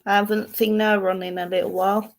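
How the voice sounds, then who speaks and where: A woman's voice: mid-range voice, level tone, fairly quick, slight background noise. An English woman hosting a casual live stream, chatting with viewers by name and giving card readings. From England. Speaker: host and card reader.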